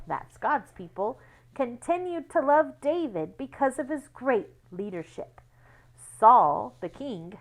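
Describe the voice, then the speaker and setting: Lady reading a kids bible school book out loud, really slow and careful, animated intonation, explains as she goes. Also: mid-range voice. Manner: deliberate, explanatory, spells out words and numbers. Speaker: children's Bible school read-aloud reader. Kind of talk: read-aloud storytelling for children with teaching asides.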